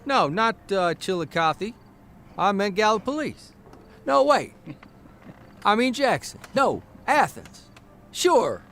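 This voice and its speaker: An actor playing a fussy, grumbling newspaper columnist of the 1930s who rambles in exasperation, in period audio drama style. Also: male voice, high voice, very animated, slow, pauses often, fairly bright tone, a little hiss or hum, full character voice. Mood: flustered.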